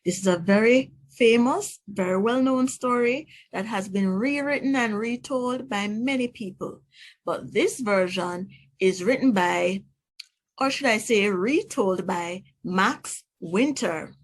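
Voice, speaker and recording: A woman teacher narrating a children's story aloud in a slow, clear storytelling manner. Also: medium pitch.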